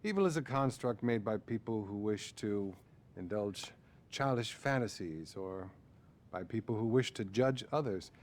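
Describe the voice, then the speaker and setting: A man's voice: lowish voice, animated, darkish tone. Condescending, detached male psychiatrist. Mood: detached, formal. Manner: measured, formal, condescending. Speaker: therapist. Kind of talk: acted video game cutscene dialogue.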